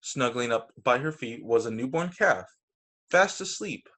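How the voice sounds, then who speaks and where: A man's voice: medium pitch, animated, unhurried, pushed and bright. A man reading picture books aloud to children as a teacher, narrating over a video call. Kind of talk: storytime narration read aloud.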